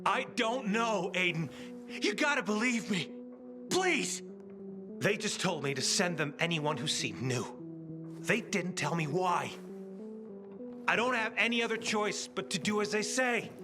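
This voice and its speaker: A man's voice: high voice, lively intonation, a little slow, pauses often, music in the background. An actor playing a frightened, guilt-ridden male scout in a video game, stammering and pleading. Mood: panicked pleading.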